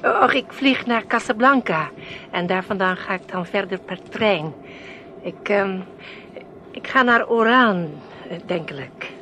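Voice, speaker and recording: A woman's voice: mid-range voice, a little slow, long pauses, pushed and bright, slight background noise. An actress in a Dutch radio play playing a chatty, polite, fussy lady traveller who speaks effusively with small hesitations. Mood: chatty, enthusing. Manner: fluttery, effusive, slightly hesitant.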